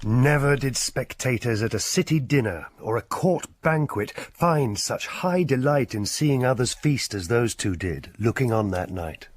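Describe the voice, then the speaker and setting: A man with a husky voice narrating a literary story from a script, as in a radio drama. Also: mid-range voice, lively intonation, a little slow, hardly any pauses, no echo.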